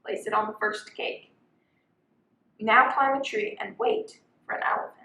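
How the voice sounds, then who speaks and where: A woman's voice: mid-range voice, normal speed, gravelly, bright, forceful tone. A young woman reading a children's picture book aloud as a volunteer storyteller. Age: young adult. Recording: roomy, echoing sound.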